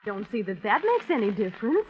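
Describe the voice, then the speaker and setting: An actress playing an eager, coaxing wife in an old-time radio crime drama. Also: female voice, mid-range voice, sing-song, a little fast, close to an everyday voice. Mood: earnest. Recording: muffled, noisy old radio drama recording.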